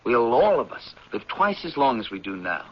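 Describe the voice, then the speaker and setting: An American actor playing an eager, idealistic anthropology professor in a 1970s radio drama, with an earnest, persuasive delivery and a husky voice. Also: male voice, medium pitch, very animated. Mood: earnest, musing. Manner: earnest, persuasive, deliberate when reading aloud. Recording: dull, lo-fi vintage radio recording.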